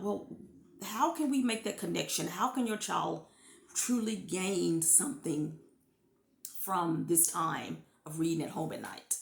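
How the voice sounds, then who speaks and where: A woman in her thirties, a former classroom teacher, giving parents earnest, encouraging reading tips and lifting her pitch to stress key points. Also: vocal fry, reverberant. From United States. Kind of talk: informal teaching talk to parents.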